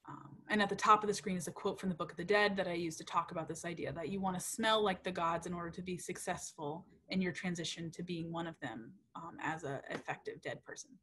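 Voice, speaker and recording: A woman's voice: lowish voice, fairly even intonation, fast talker, few pauses, bright, forceful tone, a little hiss or hum. A young woman researcher giving a casual, chatty lecture over slides, with many ums, self-corrections and "right?" checks. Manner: uptalk and frequent "right?" checks. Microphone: computer mic on a video call.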